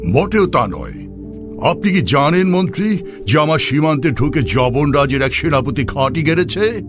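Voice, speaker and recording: A man plays a worried old king in a cartoon, haunted by a dark prophecy. His voice rises and falls widely as he frets in grand, theatrical speech. Loud music plays under him. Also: a little high, very animated, normal speed. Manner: troubled, theatrical royal speech. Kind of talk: dubbed cartoon acting. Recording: voice over loud background music.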